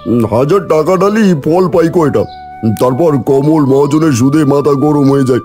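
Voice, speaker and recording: A man's voice: fairly high pitch, moderate pace, a little mellow, faint music behind, natural voice. A man voicing a discouraged, self-doubting young village son in a cartoon story. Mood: weary, frustrated.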